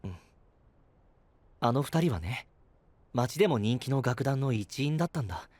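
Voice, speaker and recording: A male actor playing a gentle, responsible young knight in an audio drama, soft-spoken and earnest. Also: medium pitch, lively intonation, normal speed, dry recording, lightly acted. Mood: calm, reflective. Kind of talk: Japanese anime-style audio drama acting.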